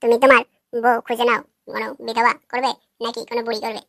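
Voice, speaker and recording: A woman's voice: on the high side, level tone, fast, fairly bright tone, no echo, clean studio sound, lightly acted. A woman playing a cheeky, sceptical young male heckler in a comic cartoon, taunting and crude. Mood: warning. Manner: taunting, foul-mouthed.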